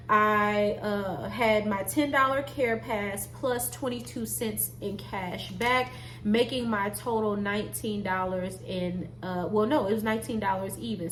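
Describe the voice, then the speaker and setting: A woman's voice: mid-range voice, slight background noise. A woman vlogger chatting casually to her viewers in a folksy way, calling them y'all. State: nasal and congested. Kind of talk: casual vlog chat.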